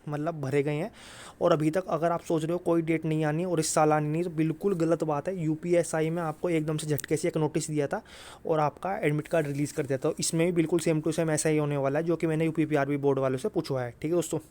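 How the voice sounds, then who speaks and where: Fast-talking North Indian man, mellow tone. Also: on the high side, level tone. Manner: repetitive, insistent, sprinkles English words into Hindi. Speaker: exam-update YouTuber. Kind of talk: informal explainer talk to viewers.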